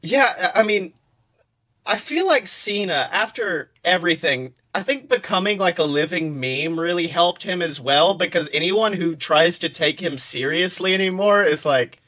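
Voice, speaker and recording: A man hosting a casual comedic podcast, telling stories in an animated way, swearing freely and acting out quotes. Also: high voice, normal speed. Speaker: podcast host.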